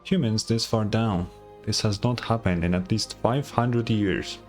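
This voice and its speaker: A man voicing a huge ancient dragon robot record-keeper from a game, formal, measured and helpful. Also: on the low side, a little mellow, soft background music, close to an everyday voice. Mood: polite, matter-of-fact. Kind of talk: reading game dialogue aloud.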